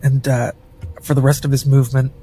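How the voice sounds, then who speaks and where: A man's voice: mid-range voice, flat delivery, fairly quick, dark tone, slight background noise. A man playing a tabletop role-playing game, casually describing what his character does.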